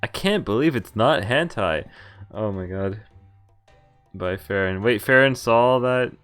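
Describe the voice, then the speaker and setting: A man's voice: on the low side, lively intonation, unhurried, fairly bright tone, clean tone, faint music behind. A man giving casual, amused and incredulous live commentary on a visual novel game, reading some of its text aloud. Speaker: game streamer.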